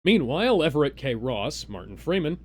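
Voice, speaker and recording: A man's voice: a little high, very animated, a little fast, a little hiss or hum. A man reading a comic script theatrically on a live stream, sometimes breaking off into banter. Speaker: co-reader on a live comedy stream.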